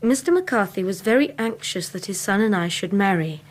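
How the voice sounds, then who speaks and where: A woman acting a young Victorian lady in a radio drama. She is English, well-spoken and mid-pitched. She speaks earnestly, with a strong will and steady loyalty. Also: moderate pace, lightly acted. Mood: hesitant, candid.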